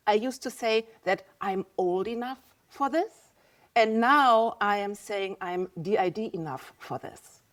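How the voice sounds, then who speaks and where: A woman in her fifties with a German accent speaks as a podcast guest at a middle pitch. She talks candidly and reflectively. Her pitch rises and dips as she works through her thoughts. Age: 50s. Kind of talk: casual interview talk.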